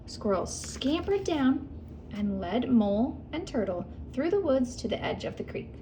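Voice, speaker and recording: A woman's voice: on the high side, noisy background. A woman reading a children's picture book aloud with expression, stopping to ask her young listeners questions. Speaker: read-aloud host.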